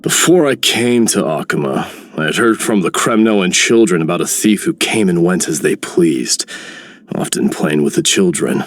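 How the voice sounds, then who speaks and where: An actor voicing a measured, reflective warrior in a video game. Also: male voice, low-pitched, animated, no room sound. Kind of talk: video game character voice lines.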